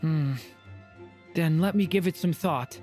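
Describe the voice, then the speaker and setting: A woman's voice: deep voice, unhurried, mellow, soft background music. A woman playing an earnest, naive young dragon child in a fantasy game, speaking in a thoughtful, wondering way. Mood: wondering, reflective.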